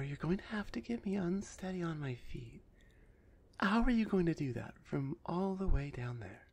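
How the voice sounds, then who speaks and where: A towering female minotaur executioner, acted by a woman for an ASMR audio drama. Her voice is husky and soft-edged, full of fry, and she talks at an unhurried pace. She is haughty and dismissive, with a stern, taunting edge. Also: lowish voice, heavily acted.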